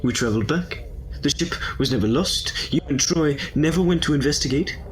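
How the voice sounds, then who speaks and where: A man playing a confident, eccentric time-traveling adventurer in a sci-fi audio drama, brisk and whimsical. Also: fairly high pitch, a little hiss or hum, lightly acted. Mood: calm, whimsical.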